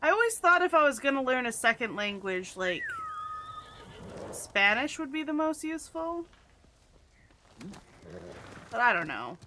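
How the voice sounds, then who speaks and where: Bright, chatty woman streamer. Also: sits high, very animated, unhurried, pauses often, a little hiss or hum. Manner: chatty, playful, laughs often. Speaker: game streamer. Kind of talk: casual gameplay commentary.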